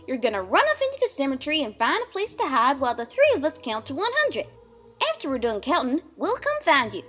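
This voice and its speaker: A woman voicing a bossy, lively young filly pony with an emphatic, folksy, commanding manner in a storybook reading. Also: high-pitched, pitched above the performer's own voice, full character voice.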